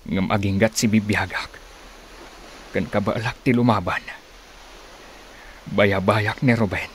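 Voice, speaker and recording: A man's voice: lowish voice, steady tone, takes it slowly, a little hiss or hum. An actor playing a troubled, resolute man, speaking an earnest, brooding monologue in a radio drama.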